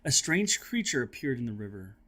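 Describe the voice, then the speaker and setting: A man hosting a children's read-aloud, telling the story in a dramatic, expressive way with chatty asides. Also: mid-range voice, fairly quick. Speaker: host and teacher reading aloud to children.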